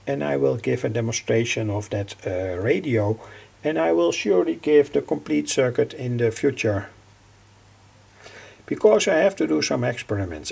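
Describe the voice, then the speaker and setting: An older man giving an informal technical explanation as a hobbyist presenter, halting and repeating his phrases. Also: medium pitch, a little mellow. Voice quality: gravelly, husky.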